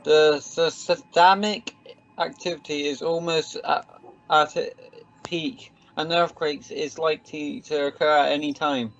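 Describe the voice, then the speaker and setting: Slow, humourless sci-fi scanner officer played by a man. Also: a little high, steady tone, fairly bright tone, slight background noise. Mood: urgent, matter-of-fact. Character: Cyrus, cynical, pedantic scanner-reading science officer, humourless. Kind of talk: sci-fi radio play acting. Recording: dull muffled lo-fi recording.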